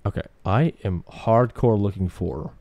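A man's voice: on the low side, a little slow, dark tone. A man commentating casually on his game livestream, chatty, slangy and a little hesitant.

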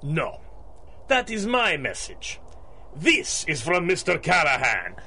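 An actor playing a menacing gangster enforcer in a 1930s-style radio drama, terse and threatening. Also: male voice, on the high side, huge pitch swings, takes it slowly, pushed and bright, a little hiss or hum, full character voice.

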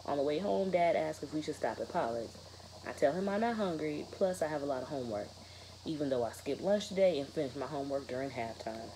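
A woman in her thirties reads to her students like a teacher, pausing to question them. Her voice is low and soft-edged, recorded in a bedroom with a little background noise. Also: lively intonation, low-quality recording. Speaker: teacher reading aloud to students. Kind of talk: read-aloud of a children's novel with questions to students. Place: bedroom, indoors, sitting in bed.

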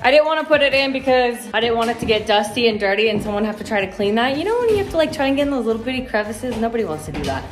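An American woman talks casually as a vlog co-host. Her voice is on the high side and swings widely in pitch. The delivery is informal and conversational. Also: animated, rapid-fire, hardly any pauses, over music. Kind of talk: casual vlog talk and narration.

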